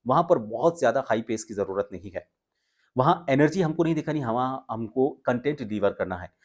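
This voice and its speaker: A man presenting a lesson as an instructor, explaining deliberately and pausing for emphasis. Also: medium pitch, lively intonation, a little mellow. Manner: measured, explanatory, deliberate phrasing with pauses for emphasis. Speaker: voice training instructor. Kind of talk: instructional talk on voice modulation, presenting to viewers.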